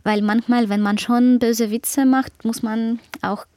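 A woman author with a Ukrainian accent, Russian as her first language, speaking German thoughtfully in an interview with many pauses and fillers. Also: on the high side, steady tone, darkish tone. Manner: thoughtful, hesitant, with frequent fillers. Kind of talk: interview conversation.

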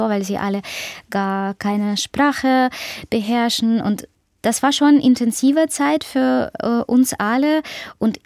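A woman author with a Ukrainian accent, Russian as her first language, speaking German thoughtfully in an interview with many pauses and fillers. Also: mid-range voice, a little mellow, dry recording, clean studio sound. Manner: thoughtful, hesitant, with frequent fillers. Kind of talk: interview conversation.

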